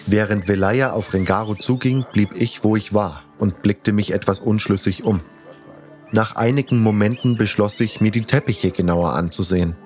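A man's voice: lowish voice, normal speed, darkish tone. A man narrating a fantasy audio story in the first person, reading from a script as its protagonist. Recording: faint music behind the voice.

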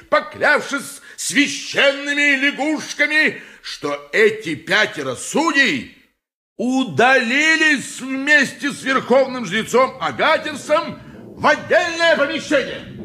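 High-pitched, declamatory male lawyer. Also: pushed and bright, exaggerated voice. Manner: declamatory, pompous, rhetorical. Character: impassioned, indignant male lawyer grandstanding in ancient court. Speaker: actor in a radio play. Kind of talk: theatrical courtroom oratory, 1980s radio play.

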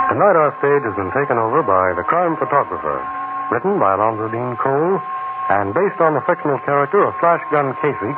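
A man hosts in classic 1940s radio announcer style, with theatrical, animated pitch. Loud music plays behind him. The sound is narrow and tinny.

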